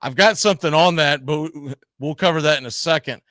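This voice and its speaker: A man's voice: a little high, fairly quick, a bit bright, no room sound, studio quality. A man hosting a casual sports debate, speaking emphatically and repeating phrases to press his point. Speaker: sports talk host. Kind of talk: casual argumentative sports discussion.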